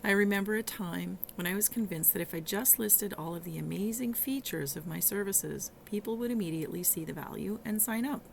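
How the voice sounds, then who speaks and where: A middle-aged woman with a hoarse, rough voice hosts a solo teaching podcast, coaching listeners in a conversational way with some halting restarts. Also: medium pitch, slight background noise. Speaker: podcast host and virtual assistant coach.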